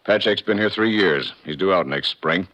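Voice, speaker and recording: Deep, gruff, weary prison warden. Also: male voice, moderate pace, sharp tone. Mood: grave. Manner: weary, blunt, matter-of-fact. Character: gloomy, gruff, harried male prison warden. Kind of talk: 1950s radio drama acting.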